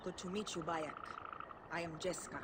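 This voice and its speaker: A woman's voice: lowish voice, fairly even intonation, normal speed, lightly acted. A woman playing a tough, purposeful rebel in a video game. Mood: reserved. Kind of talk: video game character acting. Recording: quiet voice under loud ambient noise.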